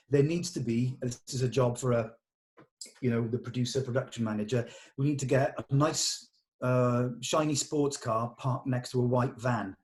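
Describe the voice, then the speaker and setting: A man's voice: medium pitch, a little mellow. Man giving directive script notes. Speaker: script reader giving notes at a table read. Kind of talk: informal script discussion.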